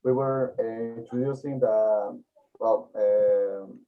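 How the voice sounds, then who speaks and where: A man's voice: on the low side, fairly even intonation, slow pace, faint music behind. Hesitant teen boy, tinny audio. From Peru. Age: teens. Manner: hesitant, many fillers. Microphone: video call. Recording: tinny phone-like call audio.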